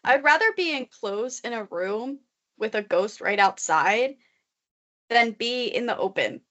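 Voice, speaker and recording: A woman's voice: on the high side, animated, unhurried, a bit bright. A young woman co-hosting a podcast, chatting casually and rambling with many fillers. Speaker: podcast co-host. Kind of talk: casual chat. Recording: muffled lo-fi recording.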